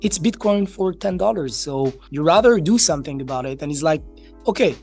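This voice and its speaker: Higher-pitched man telling stories. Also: animated, fairly quick, creaky, darkish tone, faint music behind. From Marrakesh, Morocco. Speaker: interview guest, crypto entrepreneur. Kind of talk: casual conversational storytelling.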